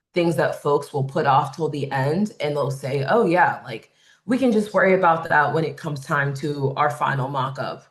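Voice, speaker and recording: A woman with a loud voice facilitating a design webinar, explaining points conversationally and checking in with "right?". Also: sits low. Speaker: workshop facilitator. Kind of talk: webinar presentation.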